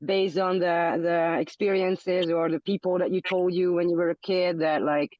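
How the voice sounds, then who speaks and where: A woman's voice: fairly low pitch, little pitch movement, moderate pace, no room sound, highs cut off. A woman from Hungary, a podcast guest in casual interview talk, searching for words and repeating them as she thinks.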